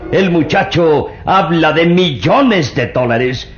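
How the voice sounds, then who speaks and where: A man's voice: a little high, lively intonation, slow pace. An actor playing a skeptical, mocking rich man in a vintage radio drama. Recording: dull lo-fi old radio recording with music.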